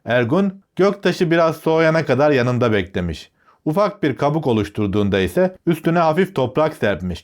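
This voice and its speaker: A man tells a children's tale in a very animated, melodic delivery. His pitch sits in an ordinary male range and moves widely as he explains each step of the story. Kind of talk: narrating a children's fairy tale.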